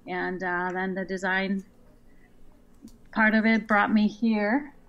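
A woman from Iceland, a guest being interviewed, talking casually with frequent restarts and a quick laugh. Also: medium pitch, steady tone, fairly bright tone, dull audio. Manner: chatty, quick to laugh, restarts her sentences. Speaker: guest quilt designer.

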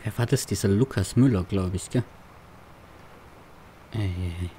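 A man grumbles and mutters his way through a farming roleplay. His voice is low and mellow, and a faint hiss runs under it. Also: a little slow. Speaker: farmer roleplayer, let's player. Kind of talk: roleplay commentary.

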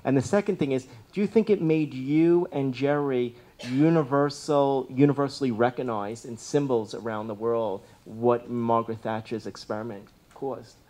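A man's voice: medium pitch, steady tone, normal speed, few pauses, mellow. A man in his thirties, hosting an on-stage interview and asking long, rambling questions into a handheld mic. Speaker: interviewer host. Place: large room with city windows, live audience.

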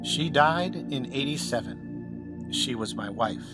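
An actor playing a wise, secretive old space admiral in an audio drama, speaking as a measured, formal, earnest mentor. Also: male voice, medium pitch, lively intonation, over loud music. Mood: wistful.

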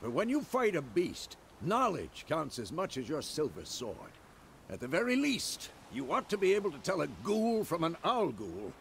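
Old sword master, very animated delivery, fairly high male pitch, gruff commands, wry, fantasy game, noisy background. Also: heavily acted. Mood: stern, instructive. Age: older. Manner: measured, schoolmasterly, gruffly commanding. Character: stern, wry old monster-hunter mentor drilling pupils. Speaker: combat instructor in a fantasy game. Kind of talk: fantasy video game voice acting.

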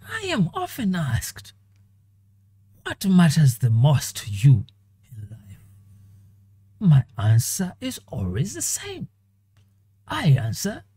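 A man performing the same dramatic monologue several times over, in a slow, theatrical delivery with long pauses. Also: a little high, darkish tone, husky, no room sound. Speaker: animator and voice actor. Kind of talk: dramatic monologue in five voice takes.

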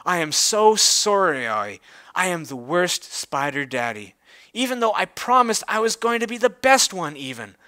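Storybook spider daddy played by a male reader: earnest, devoted, bright and forceful. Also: high voice, very animated, unhurried, no room sound, heavily acted. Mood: remorseful.

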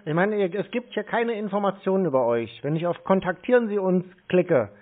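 A man's voice: high voice, lively intonation, brisk. A blunt, mocking man in a confrontational phone call. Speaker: scam-baiting prank caller. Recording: tinny narrowband phone line.

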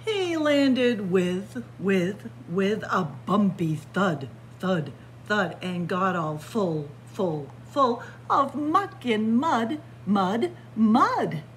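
A woman's voice: medium pitch, expressive, drawn-out words, a little hiss or hum. A woman in her sixties, a children's librarian, reading a picture book aloud in a library, chanting the rhymes in a sing-song way with playful asides, close to a webcam. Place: library with bookshelves. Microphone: webcam at close range.

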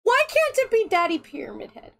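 A woman's voice: extremely high pitch, wildly swooping pitch, brisk, vocal fry, fairly bright tone. A woman streaming a game and chatting casually with friends, playful and teasing, laughing often. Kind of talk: casual gaming chat with friends.

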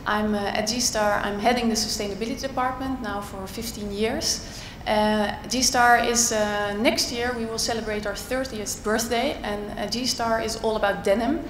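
A woman's voice: fairly high pitch, steady tone, normal speed, few pauses, a little hiss or hum. Woman with many hesitant fillers. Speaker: panelist. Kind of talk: panel discussion. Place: large auditorium stage. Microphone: handheld mic. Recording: echoey hall with long reverb.

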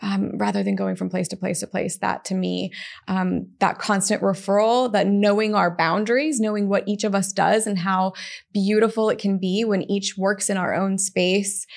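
A woman in her thirties, originally from Israel, chats eagerly about her work in a small studio. Her voice has a gravelly, creaky edge and sits at an average pitch. She restarts her sentences often and fills gaps with "um". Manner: warm, enthusiastic, many restarts and fillers. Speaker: chiropractor, guest. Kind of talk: casual interview. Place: small studio, seated at a desk. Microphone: desk mic on an arm.

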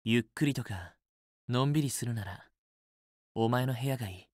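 Man acting a young student boyfriend, romance game character, possessive, teasing, softly coaxing, dry close recording. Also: mid-range voice, moderate pace, no echo, close to an everyday voice. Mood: affectionate, coaxing. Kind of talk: Japanese otome game voice acting, intimate romantic dialogue.

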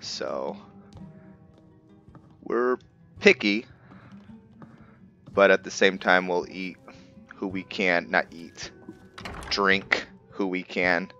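Deep-voiced man, halting gameplay commentary. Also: bright, forceful tone, muffled recording. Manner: halting, thinking aloud. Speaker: host of a video game roleplay series. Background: game music.

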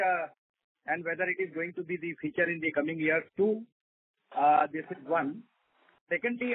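Through a narrow telephone line comes a husky, somewhat high-voiced man with an Indian accent. He puts questions as an analyst on an earnings call, politely and haltingly. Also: dry recording. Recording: phone-like radio-sounding conference line.

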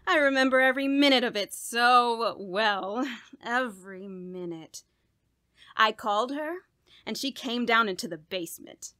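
A woman playing an unhinged, guilt-ridden killer confessing in a halting, dramatic way in a radio horror drama. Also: fairly high pitch, sharp tone. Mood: feverish, confessing. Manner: dramatic, halting, broken by manic laughter. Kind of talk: old-time radio horror drama monologue.

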